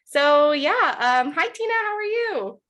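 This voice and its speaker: A woman's voice: high voice, big pitch swings, fairly bright tone. A woman co-hosting a podcast, asking questions and affirming her guest enthusiastically. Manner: enthusiastic, quick to affirm with yeah and I love it. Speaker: podcast co-host. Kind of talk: casual interview chat.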